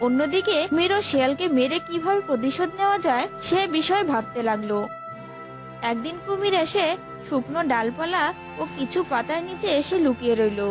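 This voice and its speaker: A woman's voice: high voice, lively intonation, a little fast. A woman narrating a scripted story for children as a storyteller. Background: prominent music.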